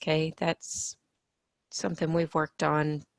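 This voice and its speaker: A woman teaching a middle-school math lesson, explaining each step patiently and sounding a little hoarse from allergies. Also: sits low, monotone, slow.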